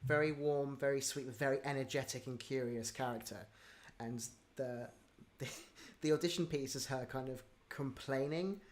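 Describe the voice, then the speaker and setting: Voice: mid-range voice, normal speed, hardly any pauses. Rambling, friendly Q&A host. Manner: friendly, rambling, frequent ums. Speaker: audio drama producer, Q&A host. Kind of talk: casual livestream Q&A hosting.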